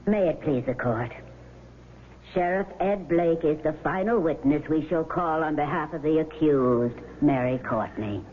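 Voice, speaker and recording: A woman's voice: low voice, a little slow. A woman playing a formal, composed defence lawyer in a 1970s radio drama. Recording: tinny, hissy old radio broadcast.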